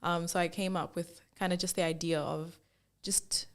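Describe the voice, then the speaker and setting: A young woman from Zambia chats freely as an interview guest, with a lowish voice that has a touch of vocal fry. She tells her story in an animated way, filling it with "like" and acting out what people said to her. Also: moderate pace. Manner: animated storyteller, acts out quoted remarks, many "like"s. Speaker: fashion brand founder, guest. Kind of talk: casual interview chat.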